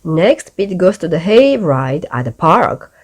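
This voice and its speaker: A woman reading a children's picture book aloud as a bedtime story, with expressive, deliberate delivery for young listeners. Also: on the low side.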